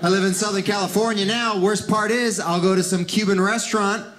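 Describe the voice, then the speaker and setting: An animated man in his thirties with a fairly high voice tells stand-up stories into a handheld mic. He is from Cuba, raised in Miami. His voice carries through a roomy theater, with clear audience noise behind him. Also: brisk. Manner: animated, jumps into high shouted voices for characters. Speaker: stand-up comedian. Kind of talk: stand-up set with character voices. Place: theater stage before a live audience.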